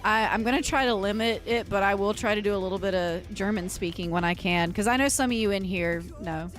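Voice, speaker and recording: A woman's voice: mid-range voice, brisk, faint music behind. A woman livestreamer chatting casually and earnestly with her viewers, rambling and often restarting her sentences. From United States. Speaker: livestreamer and metal vocalist.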